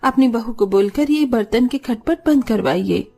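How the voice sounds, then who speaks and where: A woman's voice: a little high, animated, moderate pace, creaky, warm tone, soft background music. A woman voicing a fussy, indignant female neighbour who complains in an exaggerated way in a story.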